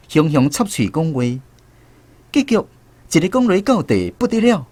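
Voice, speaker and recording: A man's voice: on the high side, a little mellow, dry recording. A man with a Taiwanese accent playing a former serviceman who wryly narrates barracks memories in a radio drama. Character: former army serviceman recounting barracks memories, wry storyteller. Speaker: narrator, a former soldier in the story. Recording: music bed behind the voice.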